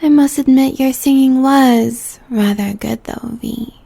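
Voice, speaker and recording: Predatory female spirit, British, husky and dark-toned, menacing and amused, for audio drama. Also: sits high, exaggerated voice. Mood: sly, taunting. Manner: slow, menacing, breathy drawl with whispered phrases. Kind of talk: comic audio drama acting.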